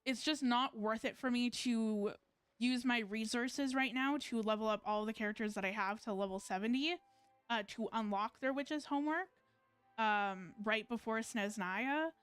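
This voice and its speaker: A woman game streamer with a smooth, fairly high voice and a dry, close sound. She talks casually, in a halting way, as if thinking aloud. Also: fairly bright tone, dry recording. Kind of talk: casual live gaming stream chat.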